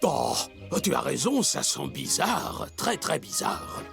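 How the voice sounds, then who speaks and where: An actor voicing a kindly, pompous forest animal who likes to lecture, grandiloquent and deliberate, in a French cartoon dub. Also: male voice, mid-range voice, wildly swooping pitch, creaky, exaggerated voice. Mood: delighted. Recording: music behind.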